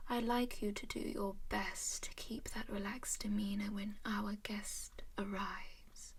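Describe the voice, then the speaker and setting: A woman voicing an ancient, genteel female vampire in an ASMR roleplay, whispering softly and dotingly in an unhurried way. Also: medium pitch, exaggerated voice. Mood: gentle. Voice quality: whispered, breathy.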